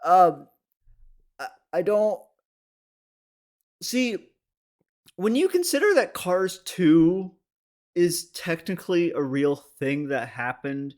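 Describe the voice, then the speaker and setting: A man narrating a comedic video essay in a wry, mock-serious way, with exasperated asides. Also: on the high side. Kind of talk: comedic video essay commentary on animated shorts.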